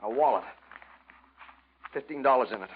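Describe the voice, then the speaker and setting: An actor playing a weak, guilt-stricken husband in a 1940s radio noir, stammering, hesitant and agitated. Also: male voice, medium pitch, normal speed, lightly acted. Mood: dazed, uneasy. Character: weak, panicky, guilt-stricken husband under his wife's thumb. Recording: muffled, noisy old radio broadcast.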